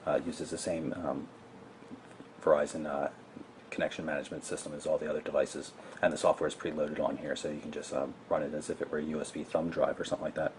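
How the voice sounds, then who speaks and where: A man with a low voice presents a gadget in a casual, explanatory way. He is matter-of-fact, like a reviewer walking through a product. There is clear noise in the background of the recording. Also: flat delivery, fast talker, a little mellow.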